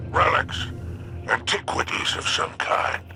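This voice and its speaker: A man's voice: takes it slowly, very noisy, natural voice. A man voicing a shady, greedy alien crime boss in a video game, with a guttural voice and a dry, sly manner. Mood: sly, scheming. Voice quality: gravelly, guttural. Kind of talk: video game character acting.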